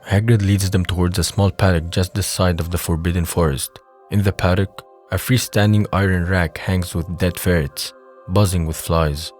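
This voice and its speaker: A low-pitched man reads scripted narration for an audio drama. His delivery is measured and descriptive, setting out each scene in the present tense. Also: fairly even intonation, darkish tone, faint music behind.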